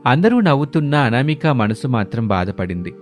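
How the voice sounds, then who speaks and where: A man tells a scripted moral folk tale in a mid-pitched voice, with music playing softly behind him. He delivers it as a storyteller narrating events in order. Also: big pitch swings, normal speed.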